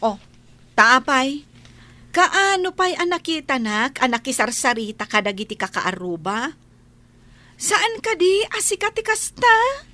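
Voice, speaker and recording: A woman's voice: high voice, big pitch swings, a little slow, pauses often, sharp tone, heavily acted. A woman playing a pious, caring village neighbour in a radio drama, speaking earnestly and moralizing with an Ilocano accent. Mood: reproachful, preachy. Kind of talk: Filipino comedy radio drama acting.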